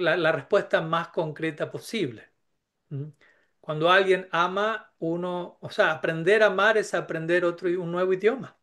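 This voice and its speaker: A man's voice: on the high side, fairly bright tone. A man giving a reflective spiritual talk, thinking aloud with frequent restarts and rhetorical tag questions. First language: Spanish. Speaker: spiritual speaker.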